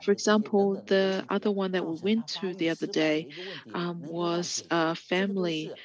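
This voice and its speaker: A woman giving a live English interpretation of a talk, halting often with ums, uhs and restarts. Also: on the low side, steady tone, low-quality recording. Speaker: simultaneous interpreter. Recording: second voice speaking faintly underneath.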